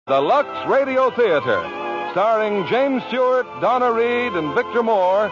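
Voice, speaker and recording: A man's voice: very high voice, expressive, measured pace. A man announcing in grand, declamatory 1940s radio style. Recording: muffled vintage radio under loud music.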